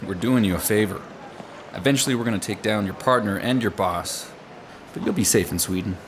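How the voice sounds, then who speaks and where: A man's voice: on the low side, brisk, background noise, lightly acted. A man playing a smug, authoritative government agent in a radio drama. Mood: smug, stern.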